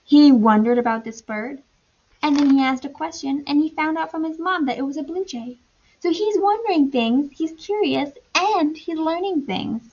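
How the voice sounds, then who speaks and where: A woman teacher doing an animated read-aloud for young children, pausing to ask questions and explain in simple, repetitive wording. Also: high-pitched, lo-fi sound, slight background noise.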